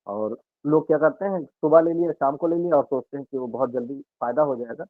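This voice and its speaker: A man, an Ayurveda health expert, calmly explaining home remedies and speaking directly to listeners on a radio health talk. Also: mid-range voice, brisk, no echo. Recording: narrow dry radio broadcast sound.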